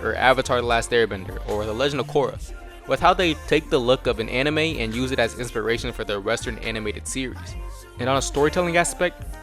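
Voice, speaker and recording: A man's voice: medium pitch, fairly bright tone. A young American man giving casual scripted commentary in a slangy, jokey way. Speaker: video essay host.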